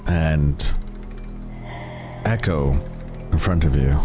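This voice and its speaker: A man running a tabletop game as game master, narrating in a deliberate, dramatic way. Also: extremely low pitch. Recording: loud music behind the voice. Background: loud music.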